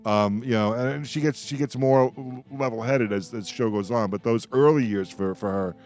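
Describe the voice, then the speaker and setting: A man's voice: medium pitch, normal speed, a little mellow. A man hosting a casual roundtable, speaking in stop-start bursts with repeated words. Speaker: radio discussion host.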